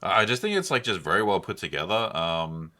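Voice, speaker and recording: A man's voice: low-pitched, very animated, brisk, pushed and bright. A man co-hosting a podcast, chatting casually about films in a rambling, slangy, enthusiastic way. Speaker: podcast co-host. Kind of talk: casual film discussion.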